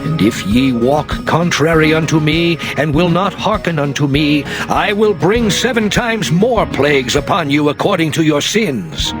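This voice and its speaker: Over clear background music, a man acts out a stern God in a scripture drama. The voice sits a little high and declaims solemnly, in grave archaic phrases. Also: expressive, a little slow, hardly any pauses. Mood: stern, threatening. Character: God, stern male deity pronouncing curses and covenant. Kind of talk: dramatized King James scripture reading. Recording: music behind the voice.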